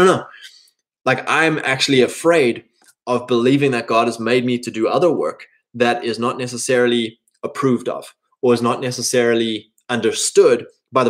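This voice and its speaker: A thirty-year-old man giving an informal, rambling motivational monologue, slipping into imagined quotes as he advises other men. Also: mid-range voice. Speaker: speaker giving advice to men.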